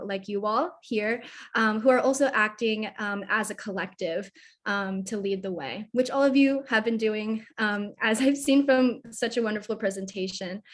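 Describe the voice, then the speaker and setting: Woman guest, earnest, enthusiastic, lots of ums, mid-pitched voice. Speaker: crew director of a youth movement. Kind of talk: online panel talk.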